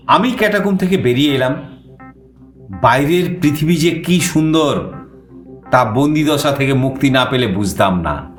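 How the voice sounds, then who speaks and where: A male storyteller narrates in the first person with an expressive, very varied pitch. His voice is mid-range and echoes a little, with faint music behind it.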